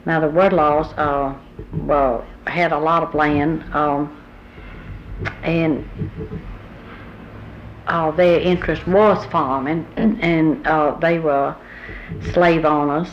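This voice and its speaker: Slow, low-voiced older woman. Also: steady tone, rough recording. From Southern United States. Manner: unhurried, recalls family history with pauses. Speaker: oral history interviewee. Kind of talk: recorded oral history interview. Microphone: tape recorder microphone. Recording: old tape recording with faint hiss.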